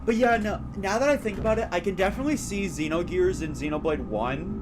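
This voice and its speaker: A man's voice: high voice, fast talker, background noise. A man giving casual, opinionated, rambling commentary on a live stream while he plays a video game.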